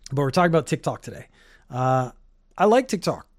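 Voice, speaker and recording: A man's voice: medium pitch, lively intonation, brisk. A Canadian man hosting his own podcast, talking in a loose, rambling, opinionated way. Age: older. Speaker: podcast host. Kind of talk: casual solo commentary. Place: home in Japan.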